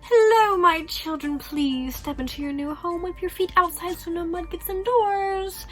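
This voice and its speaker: A woman's voice: very high voice, unhurried, fairly bright tone, soft background music, pitched above the performer's own voice. A woman voicing a greedy, sinister grown man who greets the children in a wheezy whisper. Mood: creepy welcome. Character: sinister greedy adult male villain in a stained suit.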